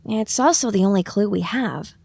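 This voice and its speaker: A woman's voice: medium pitch, moderate pace, gravelly, darkish tone, breathy edge. Woman as a grumbling little filly, echoing reverb. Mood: earnest, tentative. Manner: exasperated, wry muttering to herself. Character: very small young green filly, grumbling and self-conscious. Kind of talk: audio drama acting.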